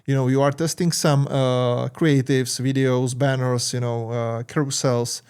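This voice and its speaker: Tired Czech-accented man, halting tutorial. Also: medium pitch, little pitch movement, darkish tone, smooth. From Czech Republic. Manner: halting, many "uh" fillers and restarts. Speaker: marketing channel host. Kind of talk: screen-share tutorial talk.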